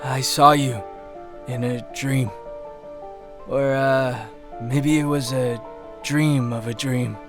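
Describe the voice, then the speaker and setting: A man's voice: mid-range voice, fairly even intonation, slow pace, long pauses, music in the background, lightly acted. A man playing a dazed amnesiac hero. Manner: hesitant, dreamy.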